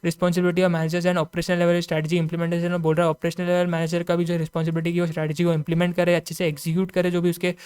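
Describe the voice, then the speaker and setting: An Indian-accented man teaches in a quick, conversational way, speaking straight to his students and checking in as he goes. His voice is clean and smooth, with a fairly flat melody. He sounds like an informal online lecturer working through material. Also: a little high. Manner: conversational, repeatedly adds check-in tags and addresses students directly. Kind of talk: informal explanatory lecture, mixing Hindi and English.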